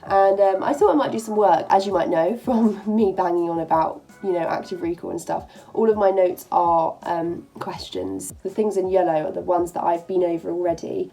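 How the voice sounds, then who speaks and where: A woman in her twenties, a medical student, chatting casually to camera in an upbeat, quick way, with a stuffy nose from a cold. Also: lowish voice, warm tone. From England. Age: 20s. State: bunged up with a cold. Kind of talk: casual vlog talk.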